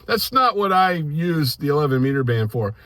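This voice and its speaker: Higher-voiced older American man, earnest and plainspoken. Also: big pitch swings, fairly bright tone, dry recording. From United States. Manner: plainspoken, earnest, halting with repeated words. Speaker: amateur radio hobbyist giving commentary. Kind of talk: informal opinion monologue to camera.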